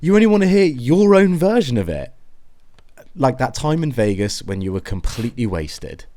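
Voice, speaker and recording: Man acting frustrated young husband, animated pitch. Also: close to an everyday voice. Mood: bitter, sarcastic. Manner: terse, exasperated, halting. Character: frustrated, defensive young husband and new father, holding resentment. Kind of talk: naturalistic audio drama acting.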